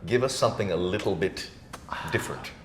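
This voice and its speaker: A deep-voiced man hosts a casual interview. He talks in a matey, opinionated, informal way. The room is clearly echoey, with faint noise in the recording. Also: fairly quick. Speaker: podcast host.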